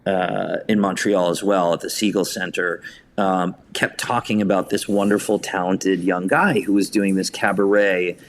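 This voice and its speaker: A man's voice: sits low, level tone, moderate pace, a little hiss or hum. A man speaking as an interview guest, telling casual anecdotes in an enthusiastic, effusive way. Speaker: podcast guest, theatre director.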